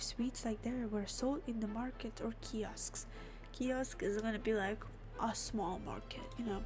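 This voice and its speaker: A woman doing a let's play, reading game text aloud and adding expressive, informal reactions. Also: on the high side. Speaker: gamer, let's player. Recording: clear game music behind the voice. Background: game music.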